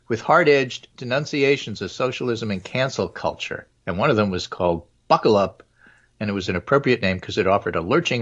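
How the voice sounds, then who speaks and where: A man reading news items aloud and commenting sardonically as a talk show co-host. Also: lowish voice. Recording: dull lo-fi line.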